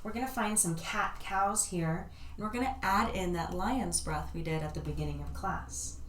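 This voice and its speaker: A woman leading a yoga class, giving calm, soothing step-by-step cues. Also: lowish voice, moderate pace, a little hiss or hum. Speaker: yoga instructor. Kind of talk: guided yoga class instruction. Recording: roomy echo, voice from a distance.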